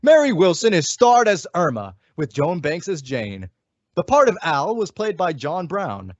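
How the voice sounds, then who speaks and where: Animated male old-time radio announcer. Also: on the high side, a little slow, no room sound. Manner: emphatic, polished sales delivery. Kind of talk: 1940s radio ad and credits, scripted. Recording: dull lo-fi audio.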